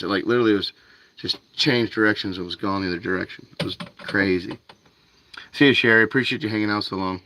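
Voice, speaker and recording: A man with a fairly low voice hosts a fishing livestream late at night. He talks in a relaxed, rambling way, reads out viewers' names and says "dude" often. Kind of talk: casual livestream chat with viewers. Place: outdoors by a canal at night.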